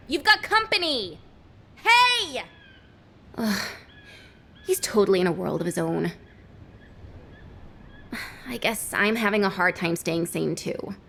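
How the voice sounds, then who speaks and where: A woman's voice: a little high, a bit bright, heavily acted. An actress playing a restless, emotional young woman in a video game cutscene, exasperated and rambling.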